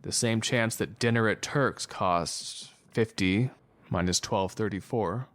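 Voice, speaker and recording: An actor playing a weary, wry doctor in an audio drama, with a dry, understated delivery. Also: male voice, on the low side, slow, fairly bright tone, no room sound. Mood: wry challenge. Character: weary doctor, old estranged friend, wry and bitter.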